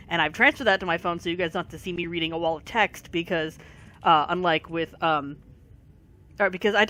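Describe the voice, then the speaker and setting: A tired gaming video creator, short on sleep and fighting allergies, giving a casual rambling commentary full of pauses. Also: mid-range voice, sharp tone. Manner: rambling, many pauses and fillers. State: voice affected by allergies.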